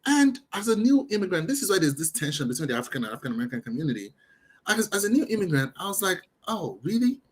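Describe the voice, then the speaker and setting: A man from Nigeria with a light Nigerian accent, a podcast guest telling his story in a quick, animated way. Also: high voice, husky. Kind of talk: podcast conversation.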